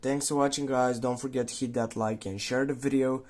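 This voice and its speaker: A man narrating an improvised how-to tutorial, speaking haltingly with pauses in the middle of his sentences. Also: mid-range voice, fairly even intonation, darkish tone. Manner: halting, pausing mid-sentence. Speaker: tutorial host.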